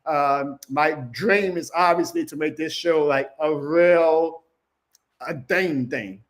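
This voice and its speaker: A man's voice: fairly high pitch, slow pace. A man hosting a casual fan livestream, loose and slangy, who says he is losing his voice. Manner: animated, loose and slangy, shouts out callers. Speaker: host of a fan livestream show.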